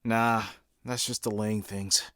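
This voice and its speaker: A man's voice: on the low side, level tone, takes it slowly, bright, forceful tone, dry recording, clean studio sound. A man voicing a wry, skeptical young hedgehog hero in a cartoon comic dub, talking in a casual, sardonic way. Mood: concerned. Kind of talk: comic book fan dub cartoon acting.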